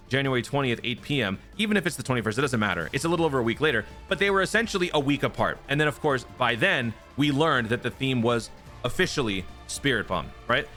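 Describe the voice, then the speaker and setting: A man's voice: mid-range voice, sharp tone. A man hosting a gaming channel, casually walking through teasers and speculating, often checking in with "right?". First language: not Japanese. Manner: chatty, explanatory, frequent "right?" tags. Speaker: YouTube gaming channel host.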